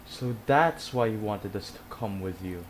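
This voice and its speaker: A man's voice: fairly low pitch, unhurried, slight background noise. A man streaming a game, casually reading dialogue choices aloud and reacting to them.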